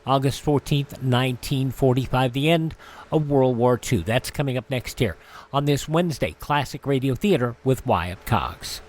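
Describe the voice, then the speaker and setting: A man's voice: mid-range voice, moderate pace, darkish tone. A man hosting a radio program, introducing the show and its segments.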